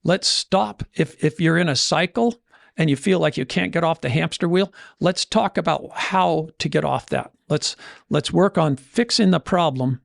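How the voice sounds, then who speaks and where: A man's voice: on the high side, normal speed, gravelly, no echo, clean studio sound. A man speaking conversationally as a podcast host and financial coach, motivational in style, often voicing imagined inner dialogue to make his points. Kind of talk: conversational motivational money talk.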